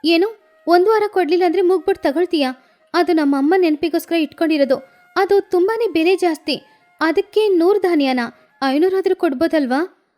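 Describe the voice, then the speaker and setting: A woman's voice: extremely high pitch, normal speed, darkish tone, faint music behind. A woman voicing a worried, pleading female sparrow villager in a children's cartoon story. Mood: alarmed, pleading.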